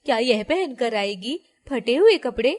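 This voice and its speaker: A woman's voice: a little high, expressive, measured pace. A woman voicing a snooty, sneering rich young woman in a moral story. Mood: mocking.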